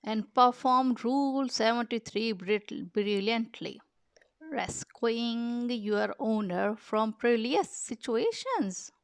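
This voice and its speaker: Female storybook narrator, unhurried and expressive, some creak in the voice, close dry sound. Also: fairly high pitch, no room sound. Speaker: children's picture book reader. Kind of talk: storybook read-aloud narration.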